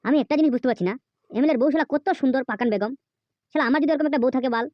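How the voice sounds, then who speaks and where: Cartoon village traitor played by a woman: sly, lecherous man, high-pitched, gossiping crudely. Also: racing, no room sound, highs cut off, close to an everyday voice. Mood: sly, scheming. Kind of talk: amateur cartoon dubbing.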